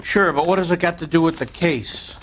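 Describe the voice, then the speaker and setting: An actor playing a guarded police investigator in a game, terse and businesslike. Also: male voice, a little high, a little fast, fairly bright tone.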